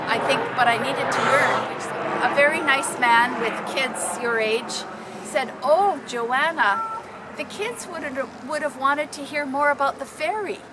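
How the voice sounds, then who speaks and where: A woman telling personal stories informally to a group, in an animated, dramatic manner. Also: sits high, very noisy. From Canada. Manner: animated, dramatic, acting out exclamations. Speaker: storyteller.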